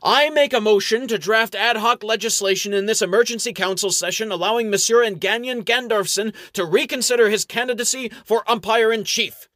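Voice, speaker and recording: A man doing a pompous high elf councilor from a storybook, loud and raised high, every phrase pushed out with bombast. The voice is close and dry, with no room around it. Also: a little fast, hardly any pauses, no echo. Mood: bombastic.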